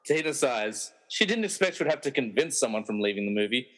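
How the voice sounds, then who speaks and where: A man's voice: mid-range voice, sing-song, fast, fairly bright tone, in a large hall. A man narrating a scripted radio play as a dramatic storyteller with wry asides.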